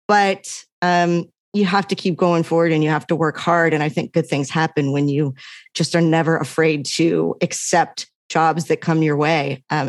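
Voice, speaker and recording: A middle-aged American woman, a sports journalist, chatting as a guest in an interview, animated and laughing often. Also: lowish voice, dry recording, clean studio sound. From United States. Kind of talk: casual interview talk.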